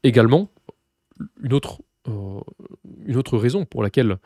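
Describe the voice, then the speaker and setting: A French man who teaches Russian, explaining his course in a relaxed way with many fillers and restarts. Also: mid-range voice, measured pace, no echo, clean studio sound. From France. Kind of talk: course presentation to camera.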